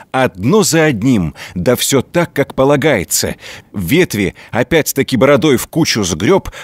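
Animated male folk tale storyteller. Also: medium pitch, no room sound, studio quality. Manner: folksy, colloquial, expressive telling. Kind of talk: scripted folk tale narration.

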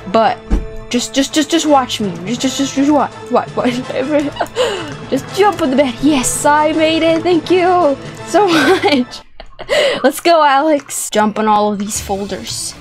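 A young woman giving excited, playful gameplay commentary, animated and exclamatory, often repeating words for effect. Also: fairly high pitch. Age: young adult. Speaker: gaming video host. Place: small indoor room set up for streaming. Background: background music.

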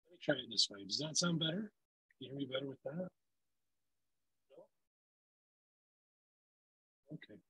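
A man with a gravelly voice presenting a casual online session for teachers over a video call, with loose, filler-heavy talk. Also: mid-range voice, expressive, moderate pace, pauses often, sharp tone, low-quality recording. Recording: video call audio, volume dropping in and out.

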